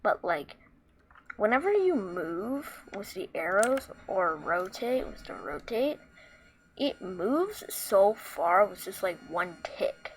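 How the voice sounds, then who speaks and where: A woman with a squeaky voice talking through a casual screen tutorial, rambling with lots of fillers and restarts. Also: very animated, slow, a little hiss or hum, poor audio. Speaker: tutorial maker.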